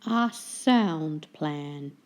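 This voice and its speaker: Woman putting on a slow, gloomy storybook husband. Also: sits low, lively intonation, exaggerated voice. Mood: agreeable, ponderous. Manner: slow, ponderous, formal. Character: mild, gloomy husband, a lighthouse keeper. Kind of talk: children's storybook character voice.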